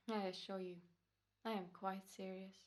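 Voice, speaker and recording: A woman's voice: mid-range voice, fairly even intonation, a little slow, natural voice. A woman playing a formal, courteous and menacing doctor in an old-time radio drama. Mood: cold threatening. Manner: courteous, precise, ominous. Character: smooth, menacing, formal doctor turned murderer in radio rehearsal.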